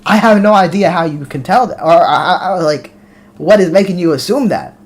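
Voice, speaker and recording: Man, American accent, high voice, livestream host, casual chat with viewers, repeats words as he starts. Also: normal speed. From United States. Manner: talks straight to viewers, reads comments aloud, restarts words.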